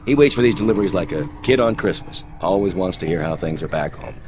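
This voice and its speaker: An actor playing a seasoned spaceship captain, firm but compassionate, in an audio drama. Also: male voice, deep voice, lively intonation, noisy background. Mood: reflective. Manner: terse commands, measured explanations. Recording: music bed under the voice.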